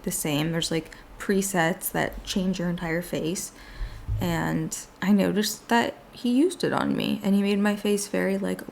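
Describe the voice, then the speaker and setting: A woman hosting her own podcast alone at home late at night, chatting casually and rambling with lots of "like", her voice worn and slightly raspy from a long day of talking. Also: on the low side, darkish tone. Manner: rambling, filler-heavy talk with frequent "like". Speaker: podcast host. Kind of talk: casual solo chat.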